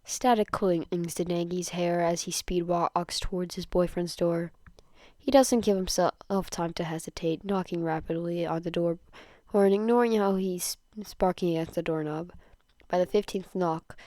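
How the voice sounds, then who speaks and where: A woman reads a story aloud as an amateur narrator, expressive but prone to small stumbles. Her voice sits a little low for a woman, and the sound is dry, with very little room. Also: dry recording. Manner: stumbles over words and rereads them. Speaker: podfic narrator. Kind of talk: expressive amateur fan fiction reading.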